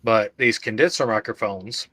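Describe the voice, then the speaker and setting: A man's voice: on the low side, bright, forceful tone, no echo. A man with a self-described droning voice, co-hosting a casual livestream chat about sound and recording. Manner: droning, unhurried delivery. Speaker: livestream co-host.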